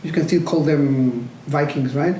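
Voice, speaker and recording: A chatty man hosts a casual conversation, his voice fairly high yet mellow. He sits away from the mic in an echoey room with faint background noise, asking curious, easygoing questions. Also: measured pace, far from the mic. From Costa Rica. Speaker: podcast host. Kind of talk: casual podcast chat.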